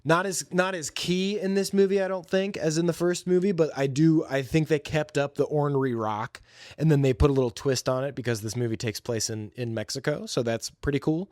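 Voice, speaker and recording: A man's voice: on the high side, fairly even intonation, fairly quick, a little mellow, no room sound, studio quality. A man hosting a podcast, giving an informal, opinionated and enthusiastic movie review in casual, slangy talk. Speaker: podcast host.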